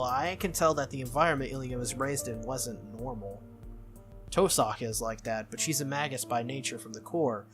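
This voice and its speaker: A man narrating a visual novel aloud as a gaming video host, in a read-through style. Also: mid-range voice.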